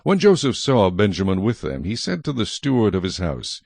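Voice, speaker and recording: A man's voice: fairly low pitch, huge pitch swings, normal speed, gravelly, a little mellow, no room sound. A man narrating scripture as a scripted, dramatized audio reading.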